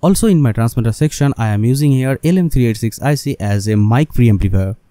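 A man's voice: medium pitch, lively intonation, fairly quick, warm tone, no echo, studio quality. A man who speaks English as a second language, narrating a scripted DIY electronics tutorial and addressing viewers directly. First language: not English. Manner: non-native phrasing, addresses viewers as guys. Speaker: electronics hobbyist presenter.

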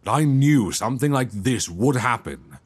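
A man playing a guilt-stricken, tender young prince in a romantic audio roleplay, halting, earnest and given to sighs. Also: mid-range voice, animated, husky. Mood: anguished, self-blaming.

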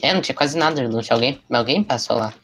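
A gravelly young man chats fast and jokingly while gaming, often repeating words. His headset mic picks up a faint hiss behind him. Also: medium pitch. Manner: excitable, jokey, repeats words rapidly. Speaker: streamer. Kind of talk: casual gaming chat.

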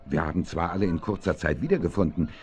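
A man's voice: low voice, fast talker, a little mellow, natural voice. An actor playing a courteous, official policeman in a German children's radio play, speaking formally. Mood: official, polite. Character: polite, official policeman on patrol.

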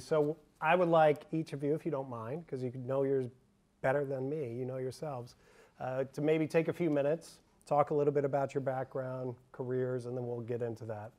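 A man moderating a conference panel on an auditorium stage, upbeat and chatty with loose fillers, through a handheld microphone. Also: mid-range voice.

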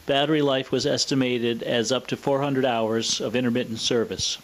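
A man presenting a scripted, explanatory product demonstration in a measured, matter-of-fact way. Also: medium pitch, steady tone, rough recording. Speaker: presenter of a vintage radio showcase video.

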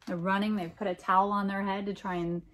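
A middle-aged American woman reads a picture book aloud, adding expressive, wondering comments for young listeners. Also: medium pitch, fast talker. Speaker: storytime reader and teacher.

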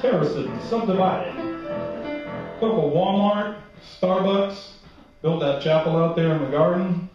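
An older man sings comic songs at the piano, his voice high, dropping into wry, self-mocking jokes for the crowd between verses. He was taped from the back of a church hall, so the sound rings with echo and arrives dull and far off. Loud piano fills the room behind him. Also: a little slow. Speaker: cabaret singer and pianist. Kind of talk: comic cabaret songs with stage patter. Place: church hall stage with a seated audience. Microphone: stand mic at the piano, filmed from the back of the room.

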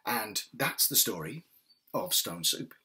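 A middle-aged man with a husky, breathy voice telling a story expressively and without hurry, with dramatic pauses. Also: on the low side, animated, pushed and bright, a little hiss or hum. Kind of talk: oral storytelling for families. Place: indoors, small wood-panelled home room. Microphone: webcam at desk distance.

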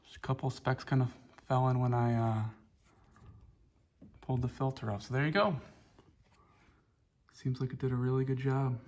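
A man with a gravelly, mid-pitched voice talks through a hands-on chainsaw check in his garage workshop. He rambles easily, filling gaps with "uh" and "you know". He is recorded on a phone held close. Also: moderate pace, long pauses, muffled recording. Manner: relaxed, rambling, many "uh" fillers. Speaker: chainsaw hobbyist showing a filter. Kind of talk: casual hands-on show-and-tell. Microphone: phone camera held close.

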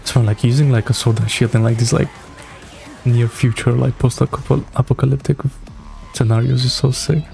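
A man's voice: mid-range voice, fairly even intonation, normal speed, gravelly, dark tone, soft background music. A young man giving casual, slangy reaction commentary in a laid-back way as a video host. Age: young adult. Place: small indoor room at a computer.

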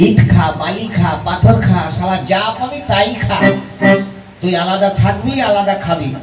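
A man voicing a gruff, scolding, scheming elder brother in a folk comedy puppet show. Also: high voice, measured pace, soft background music. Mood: angry scolding. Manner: scolding, blustering. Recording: roomy echo, distant microphone.

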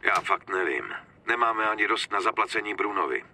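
Sharp, low-voiced gangster on telephone. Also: male voice, animated, exaggerated voice. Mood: worried, guarded. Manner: guarded, terse. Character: worried male gangster reporting trouble by telephone. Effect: telephone filter. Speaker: game character voice actor. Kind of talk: dramatic game dub acting. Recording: noisy, crackly telephone line.

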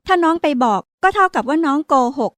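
A woman reading a story, voicing a sulky, defiant young girl in a high childish voice. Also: fairly quick, dry recording, close to an everyday voice. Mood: indignant.

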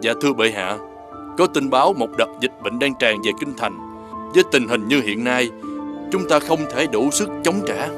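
Anxious cartoon courtier, voiced by a man, medium pitch, deferential and formal, music underneath. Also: expressive, moderate pace, close to an everyday voice. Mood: worried, pleading. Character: respectful, worried royal courtier. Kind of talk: animated fairy tale acting. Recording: music behind the voice.

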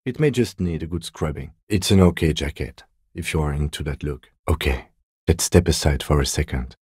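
An actor playing a composed middle-aged police detective in a video game, speaking in a measured, formal, dryly polite way. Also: male voice, extremely low pitch, darkish tone, husky, dry recording, studio quality, full character voice. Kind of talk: dramatic game dialogue, separate voice lines.

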